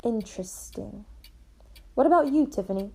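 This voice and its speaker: A woman's voice: mid-range voice, expressive, moderate pace, vocal fry, mellow, slightly rough. A woman playing a level-headed, matter-of-fact investigator who keeps firm order in a puppet-show murder mystery. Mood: measured.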